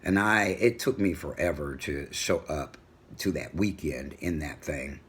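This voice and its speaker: A middle-aged man with a booming voice, talking assertively in a personal home vlog. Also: a little slow, slight background noise. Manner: assertive, animated storytelling. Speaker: vlogger. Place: home room with a world map on the wall.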